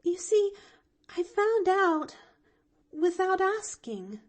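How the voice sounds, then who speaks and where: A woman's voice: sing-song, slow pace, exaggerated voice. A woman reading an audiobook, voicing an eager little girl who stammers and pleads earnestly. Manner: stammering, eager, high and bright.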